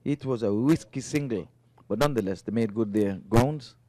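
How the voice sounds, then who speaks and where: A man giving live cricket commentary from the booth, animated and opinionated, laughing between remarks. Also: mid-range voice, moderate pace.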